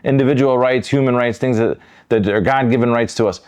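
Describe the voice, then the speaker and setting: A man's voice: medium pitch, fairly even intonation, brisk, a little mellow, clear, resonant tone. A man from Detroit with an American accent, talking conversationally as a podcast host. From Detroit, United States. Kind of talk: casual conversational discussion.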